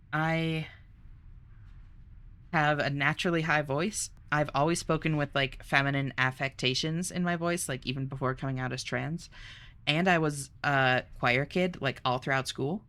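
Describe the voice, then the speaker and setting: Bright, bubbly young woman streamer. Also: low voice. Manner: bubbly and chatty, laughs often. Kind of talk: casual live-stream chat, reading chat aloud.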